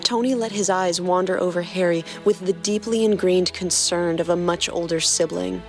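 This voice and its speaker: A woman's voice: fairly low pitch, fairly even intonation, moderate pace, a little mellow, soft background music. A woman podcast co-host who reads fan fiction aloud and trades playful banter with her partner.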